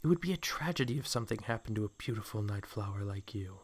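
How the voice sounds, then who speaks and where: A man's voice: fairly low pitch, expressive, fast. A man acting a suave, possessive snake man in a comic dub, coaxing with flowery, condescending endearments. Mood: sly, possessive. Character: suave, possessive snake man courting his captive love.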